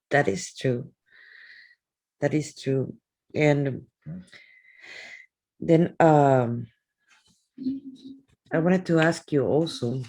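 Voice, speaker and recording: A man hosts a podcast at a middle pitch. He asks his questions with hesitations as he searches for the words. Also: gravelly, darkish tone, low-quality recording. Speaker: podcast host. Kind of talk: interview questions.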